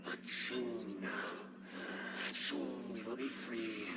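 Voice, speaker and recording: Distant gravelly demon creature. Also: male voice, medium pitch, steady tone, very noisy. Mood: eerie, gloating. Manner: slow, menacing, repetitive. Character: sinister, gloating exiled demonic creature. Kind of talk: eerie gothic game acting. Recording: distant voice in loud ambience.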